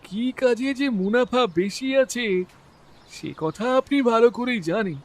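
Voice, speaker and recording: A man's voice: extremely high pitch, slow, heavily acted. A man playing an earnest, defensive labour contractor explaining himself in a dramatized audio detective story.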